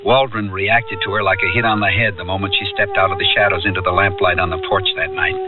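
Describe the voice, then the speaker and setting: Male actor, low voice, measured reflective radio drama storyteller, thin narrow-band recording, music behind. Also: steady tone, far from the mic, natural voice. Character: Mac, male storyteller recalling a summer with buddies. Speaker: narrator and character in a radio drama. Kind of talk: radio drama narration and dialogue.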